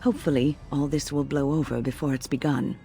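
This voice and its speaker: Wise elder female mage for fantasy game: low, mellow, formal and cautious, music underneath. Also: fairly even intonation, vocal fry. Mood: warm, measured. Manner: formal, measured, kindly diction. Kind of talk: fantasy game dialogue acting.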